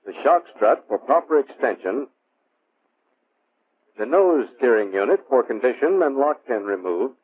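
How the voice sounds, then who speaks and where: An American man reading scripted instructional narration for a training film in a measured, clipped, instructive manner. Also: medium pitch, very animated, breathy edge. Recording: muffled, phone-like vintage military film soundtrack.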